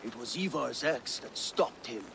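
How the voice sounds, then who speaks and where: An actor playing a gruff Viking war leader in a game cutscene, terse and commanding. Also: male voice, mid-range voice, expressive, a little slow, background noise. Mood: businesslike. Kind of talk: dramatic game cutscene acting.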